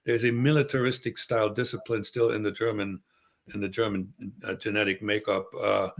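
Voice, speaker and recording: A male guest on a podcast panel, giving his opinions in a measured, thoughtful way. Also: fairly low pitch, little pitch movement. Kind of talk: casual panel discussion.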